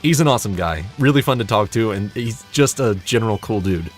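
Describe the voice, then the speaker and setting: A young man with a fairly low voice talks through a casual, scripted video update. He is wry and self-deprecating and swears freely. Faint guitar music plays behind him. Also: animated, brisk. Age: young adult. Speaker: hobby narrator and audio play director giving a channel update.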